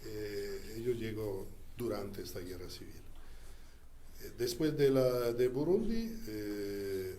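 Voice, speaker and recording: A man with a fairly low, warm, mellow voice who learned Spanish as a second language tells of his diplomatic postings in an interview. He speaks slowly and deliberately and often pauses to find his words. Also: lively intonation, a little hiss or hum. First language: not Spanish. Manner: deliberate, halting delivery with frequent pauses. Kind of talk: recounting experiences in an interview.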